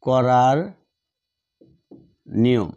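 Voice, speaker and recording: Brief: male tutor, slow and repetitive, mellow, dull lo-fi sound. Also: medium pitch, level tone, clear, resonant tone. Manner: slow, repeats words while writing them out. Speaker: English grammar teacher. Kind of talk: slow classroom explanation while writing. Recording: dull lo-fi audio.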